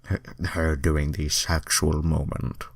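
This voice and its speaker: A man's voice: extremely low pitch, level tone, takes it slowly, gravelly, no echo. A man narrating a fan-written story in an amateur, stumbling way, with casual self-deprecating asides. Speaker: reader of fan fiction.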